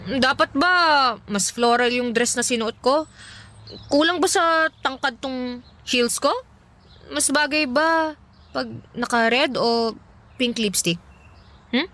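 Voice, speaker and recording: An actress playing a brash, teasing young woman with a Filipino accent in a radio drama, sassy and quick to exclaim. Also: sits high, a bit bright. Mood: playful, miffed. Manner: sassy, exclamatory, mock-exasperated. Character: brash, teasing young tomboyish woman, lovesick best friend. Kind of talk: Tagalog radio drama acting.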